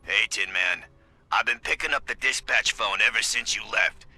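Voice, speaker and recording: A man's voice: on the low side, normal speed, bright, forceful tone, no echo, exaggerated voice. A man playing a cheeky, familiar police officer relaying emergency calls in a video game. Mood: harried, wry. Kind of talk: video game cutscene acting.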